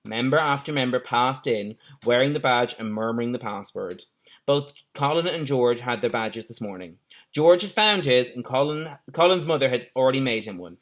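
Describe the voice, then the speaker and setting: Bright, animated man reading a children's story. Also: mid-range voice, moderate pace. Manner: playful asides and stumbles. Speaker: teacher reading a story aloud.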